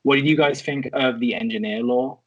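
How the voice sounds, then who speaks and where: A man's voice: mid-range voice, steady tone, brisk, fairly bright tone, husky, highs cut off. A man hosting a casual discussion podcast and putting questions to the panel. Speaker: podcast host.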